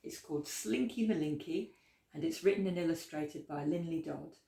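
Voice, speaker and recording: A woman reading a rhyming children's picture book aloud as a storytime host, with expressive, dramatic emphasis. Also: low-pitched, normal speed, gravelly. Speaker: library storytime reader. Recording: roomy, echoey indoor sound.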